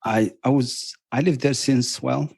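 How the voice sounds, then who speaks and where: A man in his fifties from Morocco with a Moroccan accent, a podcast guest telling stories in a relaxed way, with frequent ums and restarts. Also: mid-range voice, vocal fry, slightly rough, dry recording. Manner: unhurried storytelling with many ums and restarts. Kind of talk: casual interview chat.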